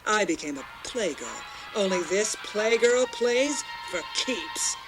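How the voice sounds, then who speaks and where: A woman's voice: medium pitch, big pitch swings, slow pace, music in the background. A woman playing a scheming, bitter playgirl femme fatale, taunting and melodramatic in film-noir radio style. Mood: scornful.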